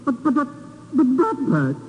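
An actor playing an excitable, hapless comic sidekick who stammers and complains in 1950s radio style. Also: male voice, extremely high pitch, moderate pace, breathy edge, exaggerated voice. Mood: flustered. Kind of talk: 1950s radio comedy-drama acting. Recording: thin, noisy old radio recording.

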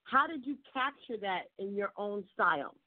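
A woman's voice: mid-range voice, expressive, normal speed. A woman hosting an interview, enthusiastic, repeating words for emphasis. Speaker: interview host. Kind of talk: interview conversation. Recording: tinny phone-like audio, dry.

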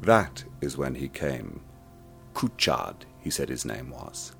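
An actor narrating as a ruined, obsessive man, telling his tale slowly and grimly in first person. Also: extremely low pitch, very animated, gravelly, heavily acted. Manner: slow, grim first-person storytelling. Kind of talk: radio play narration. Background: music.

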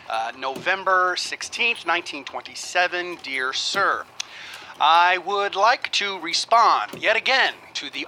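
Argumentative scholar, highish, piercingly bright, halting, radio drama dictation, old noisy recording. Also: male voice. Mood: insistent, formal. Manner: halting, musing, insistent academic. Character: earnest, argumentative scholar dictating onto a wax cylinder.

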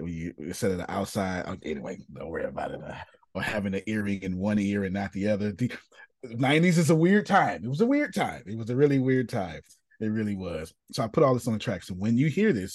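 A man, a podcast host who sounds casual and drops into a soft, low voice when he talks about himself. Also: expressive, fast talker, no echo, studio quality. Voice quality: raspy. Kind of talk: casual group chat and personal talk.

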